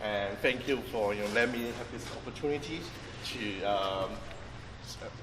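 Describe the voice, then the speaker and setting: A man talks through a market tour in a halting way, circling back on his words. His voice sits at an ordinary pitch but comes from a distance. The sound is echoey and roomy, with clear street and traffic noise behind him. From Vietnam. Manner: halting, many restarts and fillers, thinks aloud while explaining. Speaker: chef and tour guide. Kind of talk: informal guided-tour talk. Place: outdoors on a busy street near a market.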